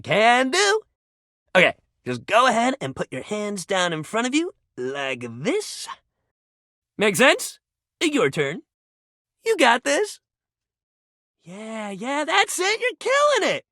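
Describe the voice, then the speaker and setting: Game role: an upbeat gym-bro coach, very animated, supportive, dry close sound. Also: male voice, very high-pitched, slow, long pauses, no room sound, heavily acted. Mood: encouraging. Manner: peppy, encouraging, casual bro slang. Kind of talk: video game character voice lines.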